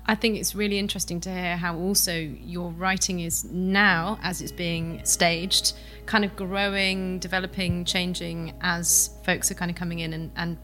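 A woman interviewing in a thoughtful academic style, setting out her questions in a measured, discursive way. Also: lowish voice, creaky, bright, forceful tone.

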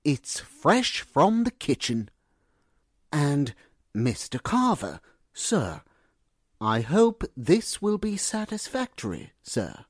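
A woman's voice: deep voice, huge pitch swings, takes it slowly, slightly rough, no echo, studio quality, exaggerated voice. A woman playing a formal, servile male butler with a sneaky air in a radio drama. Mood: obsequious, measured. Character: smooth, sneaky, deferential male household butler.

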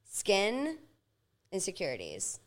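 A woman in her thirties, a podcast guest, speaking reflectively and at times slowly as she thinks aloud. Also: medium pitch, huge pitch swings. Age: 30s. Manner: reflective, slow and thoughtful at times. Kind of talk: casual interview chat.

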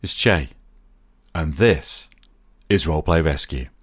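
Low-voiced guy doing a podcast monologue, explains stuff deliberately, really dry close sound. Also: dry recording, soft background music. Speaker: podcast host. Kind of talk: scripted reflective monologue.

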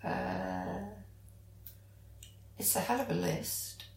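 A woman's voice: on the low side, very slow, gravelly, a little mellow, a little hiss or hum. A British woman co-hosting a podcast, chatting casually and enthusiastically. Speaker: podcast co-host. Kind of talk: casual chat. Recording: echoey room.

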